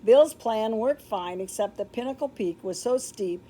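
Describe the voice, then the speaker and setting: An older woman reading a children's story aloud in a deliberate, expressive way, with explanatory asides. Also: fairly high pitch, a little hiss or hum. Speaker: storybook reader. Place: indoor room with books, like a classroom or library.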